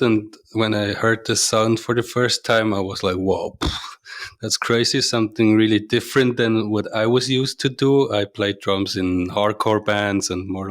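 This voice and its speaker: A man with a Swiss German accent, a band's drummer, talking easily in an interview. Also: lowish voice. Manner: easygoing, talkative. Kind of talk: casual interview.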